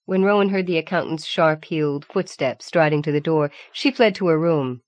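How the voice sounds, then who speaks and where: Low-voiced woman narrating fiction, very dry. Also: normal speed, no echo. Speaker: audiobook narrator. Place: dry studio voice recording.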